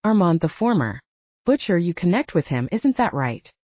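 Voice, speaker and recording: A woman reading the part of a calm, measured middle-aged man, a father and leader, in an audiobook, gently serious in manner. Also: on the low side, no echo. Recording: slightly dull lo-fi audio.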